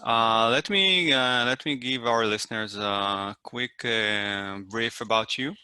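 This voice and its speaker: A man in his forties hosting a relaxed podcast chat, friendly and halting, with a fair amount of "uh" between his thoughts. His voice is bright and forceful. It is recorded close and dry, with no room sound. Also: mid-range voice, smooth, no echo. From Israel. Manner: friendly, hesitant with many fillers. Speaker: podcast host. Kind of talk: casual interview.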